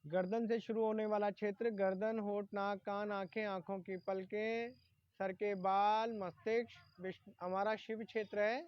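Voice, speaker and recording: A man's voice: high-pitched, level tone, normal speed, clear, resonant tone. A man leading a guided relaxation meditation as a yoga instructor, giving instructions and naming body parts in short phrases. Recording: dull lo-fi sound in echoey room.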